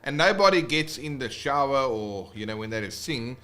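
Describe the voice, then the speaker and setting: A man's voice: mid-range voice, lively intonation, moderate pace, sharp tone. A man with a South African accent, teaching guitar in a casual, rambling livestream with many fillers and restarts.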